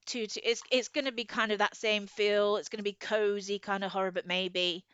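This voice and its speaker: Chatty woman with a clear, smooth voice. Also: mid-range voice, little pitch movement, normal speed, fairly bright tone, no echo, muffled recording. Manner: chatty, enthusiastic, self-deprecating. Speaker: podcast co-host. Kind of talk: casual book chat.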